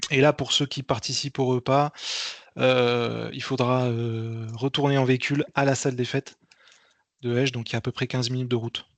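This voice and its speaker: A man presenting an informal meeting briefing as an event organizer, speaking hesitantly and haltingly with frequent repeats. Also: medium pitch, little pitch movement, no echo.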